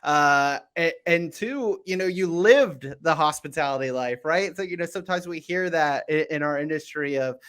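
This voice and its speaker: A man's voice: on the high side, normal speed, hardly any pauses, a bit bright, clear, resonant tone. A man hosting a podcast, upbeat and chatty, introducing a guest and asking questions with plenty of uhs and restarts. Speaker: podcast host.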